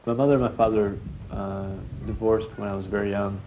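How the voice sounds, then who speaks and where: A twenty-five-year-old man speaks in a halting, reflective way. His voice is low and flat. A clear layer of noise sits behind him. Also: poor audio. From Ohio, USA. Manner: slow, halting, thoughtful. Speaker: model, reality show housemate. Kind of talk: reflective interview.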